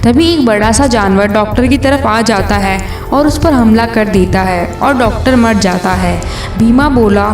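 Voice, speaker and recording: A woman's voice: a little high, measured pace, hardly any pauses. A woman reading a scripted children's story as its narrator. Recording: roomy distant sound, music behind.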